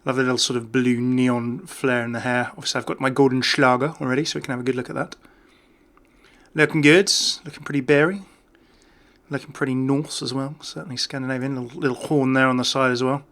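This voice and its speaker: A man's voice: medium pitch, fairly even intonation, a little fast, fairly bright tone. A man giving casual, off-the-cuff video game commentary in a chatty, enthusiastic way. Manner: chatty, enthusiastic about small details.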